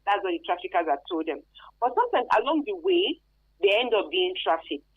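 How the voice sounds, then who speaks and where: A woman's voice: mid-range voice, big pitch swings, a little fast, slightly rough. A woman with a Nigerian accent explaining things conversationally as an interview guest, often repeating phrases for emphasis. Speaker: radio guest, anti-trafficking expert. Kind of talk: informal explanatory interview answers. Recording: muffled lo-fi radio sound, dry.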